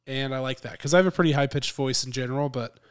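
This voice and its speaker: Chatty podcast man, a little hoarse. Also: medium pitch, fast talker. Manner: chatty, laughs often, restarts phrases. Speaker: podcast co-host. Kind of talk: casual podcast chat.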